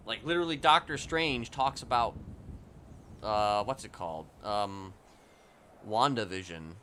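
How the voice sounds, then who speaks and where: A man's voice: lowish voice, unhurried, sharp tone, a little hiss or hum. A man chatting casually on a livestream in a rambling, hedging, conversational way. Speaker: live streamer. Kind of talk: casual chat during a game livestream.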